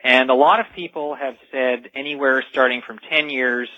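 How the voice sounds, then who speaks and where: A man's voice: medium pitch, steady tone, moderate pace, no room sound. A man speaking as an expert guest in a radio interview, explaining earnestly and emphatically, repeating key phrases. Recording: dull, dry lo-fi radio audio.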